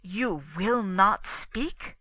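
A commanding male detective from a storybook, acted out by a woman, speaking in slow, deliberate pronouncements. The delivery is theatrical and pointed, with a sharp, forceful edge and a formal air of menace. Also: mid-range voice, dry recording, voice pushed up, heavily acted. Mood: menacing, insistent. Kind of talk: dramatic audiobook character dialogue.

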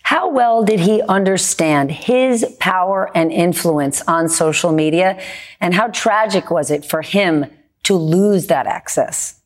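A woman's voice: on the low side, measured pace. Skeptical woman news host, pointed. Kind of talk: TV news interview.

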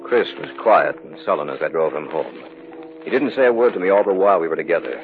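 A man's voice: deep voice, lively intonation, fast talker, gravelly, soft background music. An actor playing a sober, level-headed man narrating his own eerie tale in a 1950s radio drama. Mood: grave. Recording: muffled old radio recording.

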